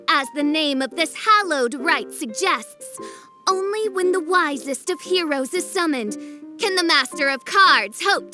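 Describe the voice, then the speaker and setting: A self-styled teenage princess from an anime game. The voice is very high and piercingly bright. The delivery is slow, pompous and theatrical, full of archaic flourishes. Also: female voice, full character voice. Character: grandiose teenage girl posing as fantasy princess. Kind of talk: anime video game dub.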